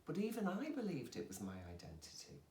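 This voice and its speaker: A woman's voice: lowish voice, huge pitch swings, a little fast, warm tone. A woman, a yoga teacher, talking candidly and expressively about her own healing and laughing at herself. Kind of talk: candid personal conversation. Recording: roomy sound from a distant mic.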